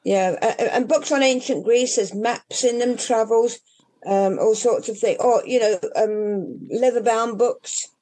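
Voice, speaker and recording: Older english lady bookseller, warm mellow tone, keeps restarting and wandering off on asides. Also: on the high side, unhurried, poor audio. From England. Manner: rambling, with many restarts and trailing asides. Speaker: independent bookshop owner. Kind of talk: casual interview chat.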